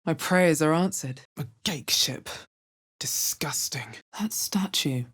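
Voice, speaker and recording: A guarded adventurer heroine from a fantasy video game. Her voice sits on the low side and has a strong husky rasp. She speaks in a measured, wary, dry way, as a seasoned spellcaster would. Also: a bit bright, heavily acted. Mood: hushed. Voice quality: husky, bass-heavy. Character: guarded, low-voiced adventurer woman, player hero who casts spells.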